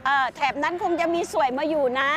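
Older woman speaking haltingly outdoors. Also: sits high, fairly even intonation, fairly bright tone, faint music behind. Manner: hesitant, halting with fillers. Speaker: local interviewee. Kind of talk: casual interview talk. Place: outdoors at an ancient stone temple. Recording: outdoor with faint ambience.

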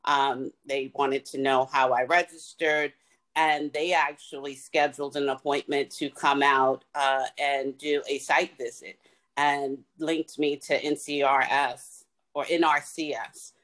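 A middle-aged woman speaking over a webcam video call. She explains her experience in a calm, reflective and measured manner, with occasional "um" and "uh". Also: a bass voice. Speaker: farmer panelist. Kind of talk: webinar panel answer.

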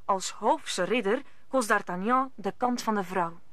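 A woman's voice: medium pitch, measured pace, fairly bright tone, dry recording. A woman narrating an old Dutch radio play in a scripted storytelling style.

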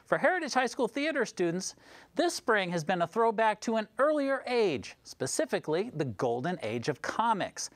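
A man's voice: high voice, sing-song, moderate pace, no echo. A middle-aged American man presenting a scripted news feature.

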